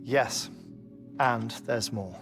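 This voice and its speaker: An actor playing a scholarly, eager scientist in a video game cutscene, explaining in a measured way with probing questions and a creaky edge to his voice. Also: mid-range voice, over music. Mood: earnest, explanatory.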